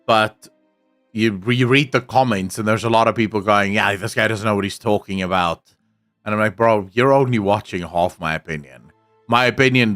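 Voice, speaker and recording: South african guy streaming, soft accent, afrikaans first language, chatty and blunt, swears a bit, faint music behind. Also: fairly low pitch. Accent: soft South African English. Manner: candid, swears casually, answers chat. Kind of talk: casual live-stream chat, reading comments.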